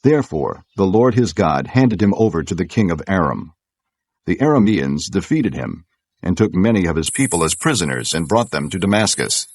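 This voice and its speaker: A man narrating scripture from a script in a solemn, measured storytelling manner. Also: deep voice, sing-song, darkish tone, slightly rough, no echo.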